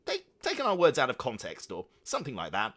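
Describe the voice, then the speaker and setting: A man's voice: mid-range voice, wildly swooping pitch, fast talker, a bit bright, voice pushed up, heavily acted. A man doing a shifty, blustering uncle. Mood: evasive. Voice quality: evasive, blustering.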